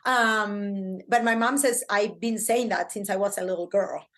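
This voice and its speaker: A middle-aged woman from Argentina with an Argentine Spanish accent, chatting as a podcast guest in an excitable, talkative way with many fillers. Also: mid-range voice, a little slow. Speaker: podcast guest, branding coach. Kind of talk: casual interview chat.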